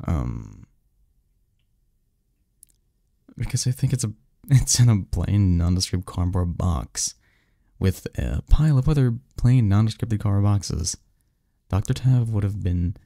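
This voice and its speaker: A man playing a sardonic young research assistant in an audio roleplay, his voice very dark and gravelly. He talks haltingly and intimately, dropping at times into breathy tones, and the sound is close and dry. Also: lowish voice, no echo. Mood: casual, distracted. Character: snarky, cynical young research assistant, flustered when hurt. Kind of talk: intimate one-sided audio roleplay acting.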